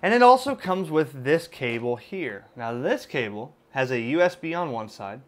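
A man's voice: mid-range voice, very animated, moderate pace. A man presenting a hands-on product demonstration in an informal, conversational, hedging way, recorded indoors at a desk. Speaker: amateur radio video host and product reviewer. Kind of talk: casual unboxing and product demonstration.

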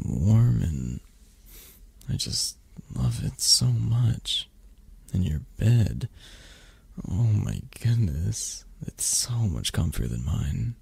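Male actor for affectionate dragon boyfriend, ASMR audio roleplay; unhurried, expressive swings in pitch, breathy and playful. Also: dry recording, exaggerated voice. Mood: sleepy, blissful. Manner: soft, breathy, halting, cooing.

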